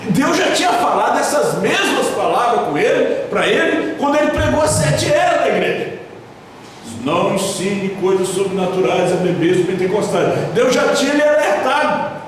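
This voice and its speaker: A Brazilian pastor with a southern Brazilian accent preaching a sermon in a church hall, with sudden loud emphasis and slowly drawn-out stresses. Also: male voice, sits high, sing-song, a little hiss or hum. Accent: southern Brazilian Portuguese. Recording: echoey church hall from far off.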